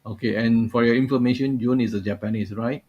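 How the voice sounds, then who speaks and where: A man's voice: medium pitch, steady tone, a little fast, darkish tone, breathy edge, lo-fi sound. A man hosting a live webinar over a video call, talking loosely and padding his sentences with "uh" and "you know". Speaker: webinar host.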